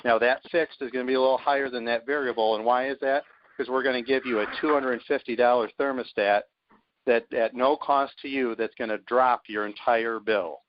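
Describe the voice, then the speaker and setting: A man's voice: medium pitch, fairly even intonation, a little fast, slight background noise. A man speaking as a sales team leader on a conference call, confident and emphatic, punching his points. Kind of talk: motivational sales pitch on a call. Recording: dull lo-fi conference phone line.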